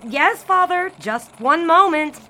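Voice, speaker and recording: A woman's voice: extremely high pitch, animated, slow, heavily acted. A woman playing an earnest young daughter who tells football history in a florid, dramatic way in an audio drama. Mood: reluctant.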